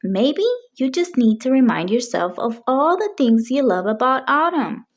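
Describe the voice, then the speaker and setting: A woman voicing a gentle, encouraging mother cat in a children's storybook. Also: high-pitched, lively intonation, normal speed.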